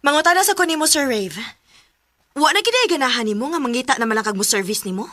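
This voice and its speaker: A woman's voice: high-pitched, animated, fast talker, noisy background. A young woman with a Cebuano accent, acting in a radio drama as a proud, blunt girl who scolds a man. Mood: irritated.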